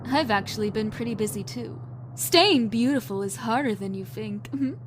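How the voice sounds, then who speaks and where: A woman's voice: a little high, normal speed, slight background noise, natural voice. A woman playing a vain, glamorous young fashion model, breezy, theatrical and self-absorbed, in an audio drama.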